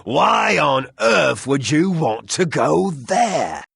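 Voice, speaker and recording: An actor playing a drunken, heartbroken townsman in a video game, husky and self-pitying, rambling with slurred hesitations. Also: a little high, very animated, slow, a bit bright, no echo, clean studio sound, full character voice. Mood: amused, rambling. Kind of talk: video game character acting.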